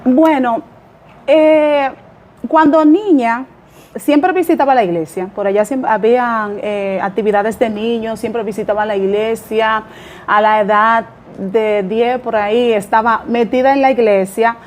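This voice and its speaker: A woman's voice: a little high, steady tone, measured pace. A woman pastor from the Dominican Republic, speaking with a Dominican accent as she tells her life story in a podcast interview, humble and chatty with small laughs. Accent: Dominican Spanish. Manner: warm, humble storytelling with light laughs and fillers. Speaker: pastor and guest.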